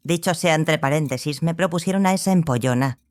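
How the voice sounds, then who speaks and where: A woman's voice: low-pitched, darkish tone, close to an everyday voice. Woman acting a self-assured, wry sorceress mentor. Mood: dry, ironic. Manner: measured, formal, dryly ironic. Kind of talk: dramatized audiobook acting.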